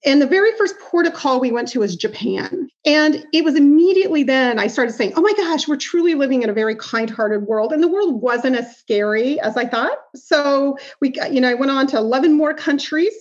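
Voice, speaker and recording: A woman from Iowa speaks as a podcast guest, a lively storyteller who laughs easily. Her voice sits high. The sound is a bit dull and lo-fi. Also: brisk, hardly any pauses. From Iowa, United States. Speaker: podcast guest, business founder. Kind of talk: storytelling interview answers.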